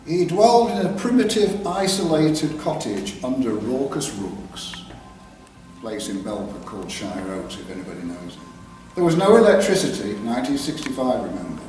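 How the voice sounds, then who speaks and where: An older man with an English East Midlands accent, telling a story live with careful diction and dramatic pauses. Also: mid-range voice, animated, a little mellow, faint music behind. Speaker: author reading his own work. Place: live reading in a theatre clubroom. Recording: very echoey hall, distant mic, background noise.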